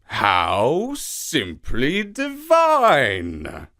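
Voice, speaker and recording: A man voicing a big, lazy, gullible male leopard in a children's folktale, speaking in a languid, drawn-out way. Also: medium pitch, huge pitch swings, sharp tone, no echo, clean studio sound, exaggerated voice. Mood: languid, admiring.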